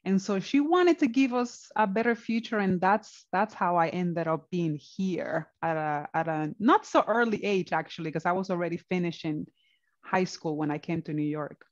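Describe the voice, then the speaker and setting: A woman's voice: on the low side, moderate pace. A woman in her forties from the Dominican Republic, telling her own story openly as an interview guest over a video call from a home office. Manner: open, animated, laughs while talking. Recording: dull lo-fi video call audio.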